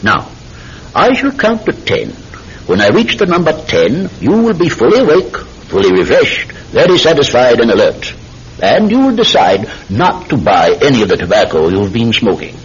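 An actor playing a sinister, controlled hypnotist posing as a polite tobacconist, with deliberate, theatrical delivery in an old-time radio drama. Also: male voice, mid-range voice, big pitch swings, breathy edge. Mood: controlled, satisfied. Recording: muffled, hissy old radio recording.

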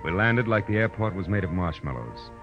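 Very low-voiced American private eye, hard-boiled, wry, clipped, world-weary, old radio drama, muffled recording with music behind. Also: male voice, big pitch swings, a little fast. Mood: relaxed, wry. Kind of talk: 1940s radio detective acting, first-person narration.

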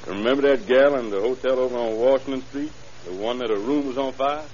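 An actor playing a cocky young killer with defiant, slangy tough talk in an old radio crime drama. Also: male voice, mid-range voice, fast, darkish tone, natural voice. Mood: boastful. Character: cocky young male killer, tough-talking street punk. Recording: muffled, hissy 1930s radio recording.

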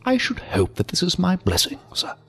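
Weird polite old alley philosopher, croaky voice, super swoopy and formal, audio drama w/ faint music. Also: male voice, sits high, wildly swooping pitch, moderate pace, a bit bright, exaggerated voice. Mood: politely apologetic. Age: older. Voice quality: creaky, gravelly, breathy at times. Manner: formal, ornate, deferential, theatrically sing-song. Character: odd, courteous, philosophical old man lurking in an alley.

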